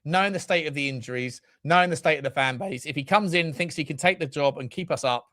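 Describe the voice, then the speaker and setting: A man's voice: a little high, quick pace, pushed and bright. A man co-hosting a football fan show, bantering casually in a jokey, sweary way. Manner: jokey, sweary, puts on comic accents.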